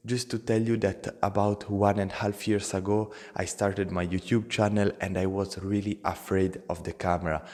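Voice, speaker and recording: A man's voice: lowish voice, level tone, normal speed, few pauses, darkish tone, long reverb tail. A young man with an Italian accent, talking earnestly to camera as a motivational channel host, in simple non-native phrasing.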